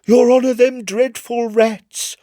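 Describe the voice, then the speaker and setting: A man's voice: very high-pitched, steady tone, slow pace, dark tone, no echo, clean studio sound, pitched above the performer's own voice. A man voicing a terrified young ship's carpenter in a storybook reading, pleading desperately and fervently.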